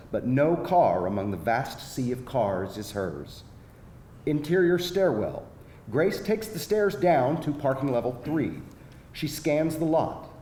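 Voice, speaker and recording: Animated male narrator in an echoey room. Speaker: narrator reading screenplay directions. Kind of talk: scripted narration, live table read. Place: echoing indoor venue at live reading. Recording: echoey live room, faint rumbling noise.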